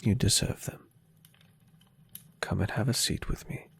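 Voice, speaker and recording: A man voicing a gentle, adoring, centuries-old vampire boyfriend in a soft-spoken, tender and unhurried ASMR roleplay. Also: natural voice. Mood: tender, affectionate. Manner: tender, breathy, unhurried, softly teasing.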